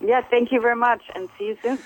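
A woman from Germany, a bass player, answering interview questions in a relaxed, hesitant way with many fillers. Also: quick pace, poor audio. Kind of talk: casual phone interview.

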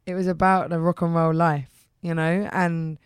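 A woman singer with a husky, rough voice, hoarse today, chatting casually in an interview and saying "like" a lot. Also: fairly low pitch, little pitch movement, dry recording, studio quality. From England. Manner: chatty, repeats "like", quick restarts. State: hoarse from heavy use. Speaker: singer, guest.

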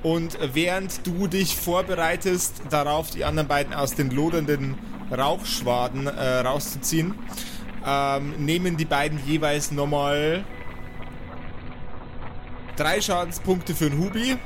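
A man's voice: a little high, unhurried, background noise. A man narrating an improvised tabletop role-playing game as the game master. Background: background music.

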